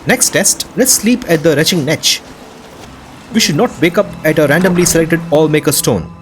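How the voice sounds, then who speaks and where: A man with a slightly high voice narrates gameplay for his video. He is casual and enthusiastic, working from a loose script, with music playing softly behind him. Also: moderate pace. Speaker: video host. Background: background music.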